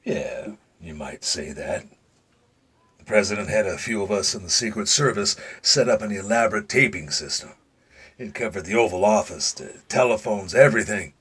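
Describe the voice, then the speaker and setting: An actor playing a dying retired Secret Service agent in an audio drama, older, gruff and folksy, weary and confiding, with dry humor. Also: male voice, fairly low pitch, expressive, normal speed, a bit bright, heavily acted. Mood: wry.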